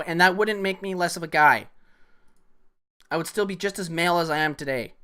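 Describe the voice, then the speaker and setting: A man's voice: high-pitched, pushed and bright. A man arguing heatedly with a viewer on his live stream, swearing often. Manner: heated, argumentative, swears a lot. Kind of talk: casual live-stream rant.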